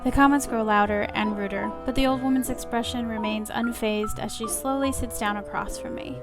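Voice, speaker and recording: A woman reading a visual novel aloud as expressive first-person story narration. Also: on the high side, a little fast. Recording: music under the voice. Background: clear background music.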